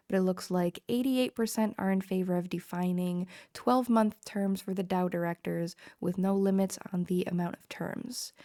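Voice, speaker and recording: A woman with a cold hosts a community call, working through a list of proposals in a brisk, businesslike way. Her voice is gravelly and mellow, and the recording is dry and close. She fills the gaps with frequent ums. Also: fairly low pitch, dry recording. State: has a cold.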